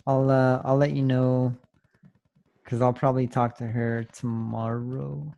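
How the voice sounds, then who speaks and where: Relaxed young man on a video call. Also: medium pitch, unhurried, creaky, muffled recording. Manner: relaxed, hesitant with fillers. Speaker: new business recruit. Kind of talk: casual video call chat. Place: home living room. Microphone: desktop studio mic on video call.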